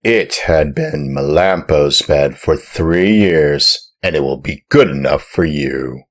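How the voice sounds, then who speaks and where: A man voicing a rough, angry farmer in a storybook reading, speaking loudly, gruffly and threateningly. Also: a bass voice, lively intonation, takes it slowly, heavily acted.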